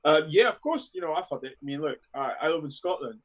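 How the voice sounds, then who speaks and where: London guy on a video call, blunt and fed up, voice on the higher side. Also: animated, quick pace. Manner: blunt, dismissive, emphatic. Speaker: guest panelist. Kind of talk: casual panel discussion.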